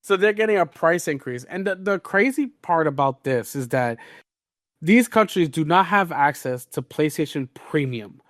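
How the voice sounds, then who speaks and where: A man with a slightly high voice presents gaming news as a podcast host. He talks casually and leans on words for emphasis. The recording is dry and close. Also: expressive, normal speed, a bit bright, no echo.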